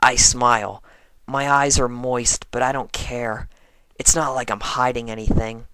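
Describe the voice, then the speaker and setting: Man acting female cartoon pony, brash, lovestruck, strained rasp, gushing first-person storytelling, unhurried, close dry recording. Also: mid-range voice, steady tone, pushed and bright, no echo, rough recording. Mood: tender, earnest. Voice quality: strained, put-on raspy voice. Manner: halting, gushing, smitten. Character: brash, lovestruck young female pegasus pony, tomboyish and teasing. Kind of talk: first-person fan fiction reading in character.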